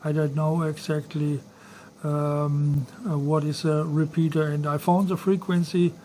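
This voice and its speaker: Halting Dutch-accented man, higher-pitched voice. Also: level tone, mellow, a little hiss or hum. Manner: halting, hesitant, polite. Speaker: amateur radio operator. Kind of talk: casual ham radio contact.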